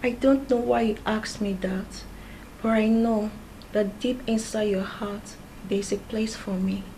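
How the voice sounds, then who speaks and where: A woman's voice: fairly high pitch, measured pace, warm tone, slight background noise, rough recording. An actress playing a young woman who is in love with a man who rejects her and who pleads for his affection. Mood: pleading. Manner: pleading, emotional.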